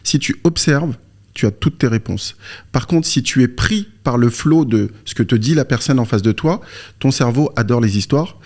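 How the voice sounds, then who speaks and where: A man's voice: mid-range voice, moderate pace, darkish tone. A man from France, born in Morocco, speaking as an interview guest and coach, explaining informally through anecdotes and rhetorical questions. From born in Morocco, moved to France aged three or four. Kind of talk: conversational explanation with personal anecdotes.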